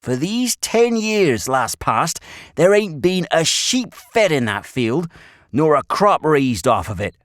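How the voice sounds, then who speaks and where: An old rural English shepherd, acted by a male reader, full of grave warning. His folksy country dialect is emphatic and swings widely in pitch. The recording is dry and close, like a storybook told at the listener's side. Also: very animated, takes it slowly, a bit bright, no room sound, exaggerated voice.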